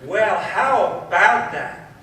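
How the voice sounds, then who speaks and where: A high-voiced baseball announcer of the 1940s radio days, acted out by a man in a radio play. The sound is very echoey and distant, as if from the back of a hall. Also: expressive, takes it slowly. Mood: excited recap. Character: mid-century baseball radio announcer recapping a game. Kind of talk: 1940s radio sportscast acting. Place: amateur club stage in an echoey hall. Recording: echoey hall from far off, faint noise.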